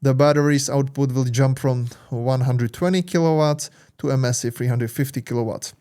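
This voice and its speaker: Czech-accented male tutorial host, mid-pitched voice, stop-start English with many fillers, keen. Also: fairly even intonation, dark tone, smooth. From Czech Republic. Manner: halting, many fillers and restarts; enthusiastic. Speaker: tech channel host. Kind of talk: tutorial walkthrough, casual.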